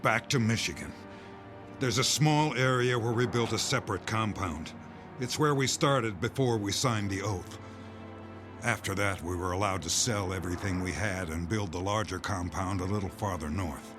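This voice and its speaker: A man playing a grim doomsday insider in an audio drama, speaking in measured, weighty phrases. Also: lowish voice, natural voice. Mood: resigned. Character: grim male insider revealing a doomsday conspiracy. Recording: music bed under the voice.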